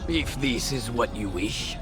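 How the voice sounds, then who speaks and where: An actor playing a scheming, haughty Templar commander in a video game, with a bitter, theatrical and taunting delivery. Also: male voice, mid-range voice, expressive, measured pace, lightly acted. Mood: defiant. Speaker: villain. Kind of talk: dramatic video game cutscene acting. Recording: music behind the voice.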